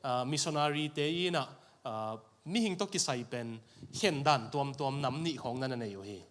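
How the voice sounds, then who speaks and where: A man's voice: medium pitch, expressive, moderate pace, a bit bright. A man interpreting a sermon phrase by phrase in a church, repeating each line right after the preacher. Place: church sanctuary.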